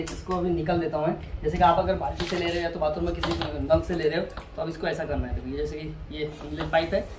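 Higher-voiced man, roomy distant recording. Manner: informal, hands-on, repeating himself while handling items. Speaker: product reviewer. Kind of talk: casual unboxing demonstration. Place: outdoor porch by house steps. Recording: roomy, distant mic, clearly noisy, clicks.

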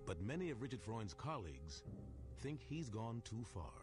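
A man narrates a documentary in a measured, storytelling manner. His voice sits low and swings widely in pitch. Music plays clearly behind him. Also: sing-song. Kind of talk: scripted narration. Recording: narration over loud music.

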